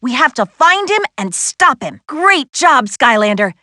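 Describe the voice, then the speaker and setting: A woman voicing a confident, spirited young adventurer in a video game, briskly giving missions with an earnest, lightly wry manner. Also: sits high, big pitch swings, sharp tone, dry recording, studio quality, full character voice. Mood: grave, urgent, then upbeat. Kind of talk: video game character voice acting.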